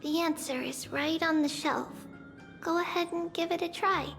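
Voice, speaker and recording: A woman playing a composed young girl guide in a game, measured, knowing and earnest. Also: very high-pitched, fairly even intonation, a bit bright, long reverb tail, faint music behind. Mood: calm, solemn. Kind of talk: dramatic game voice acting.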